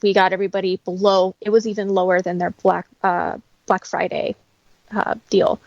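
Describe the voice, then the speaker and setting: A woman's voice: medium pitch, flat delivery, moderate pace, a little mellow, no echo, lo-fi sound. A woman talking casually and instructively, in a matter-of-fact way, as a guest who teaches practical skills. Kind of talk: casual conversational explaining.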